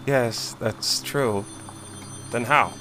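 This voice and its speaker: An actor in an audio drama playing a proud, suspicious, dismissive official at a powerful court. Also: male voice, mid-range voice, extremely slow, pushed and bright, slight background noise. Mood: shaken disbelief. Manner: imperious, dismissive, brooding.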